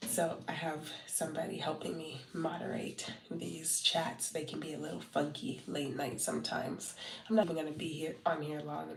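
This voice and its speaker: A woman's voice: sits low, little pitch movement, moderate pace, vocal fry, noisy background. A congested, wheezy woman in her thirties from Southern California, chatting casually and loosely on a late-night livestream, full of fillers and restarts. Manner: rambling, many fillers and restarts. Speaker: content marketing livestreamer. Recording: roomy, distant from the mic.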